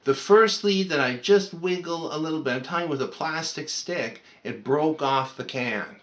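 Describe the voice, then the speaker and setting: A man's voice: fairly high pitch, lively intonation, moderate pace, a bit bright. A man talking casually and conversationally through a repair as a hobbyist, unscripted and a little rambling.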